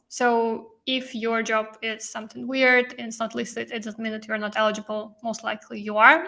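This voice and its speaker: A woman's voice: a little high, pushed and bright. A woman hosting a live-stream interview, explaining things in a chatty way with many fillers, and losing her voice. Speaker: host and visa coach.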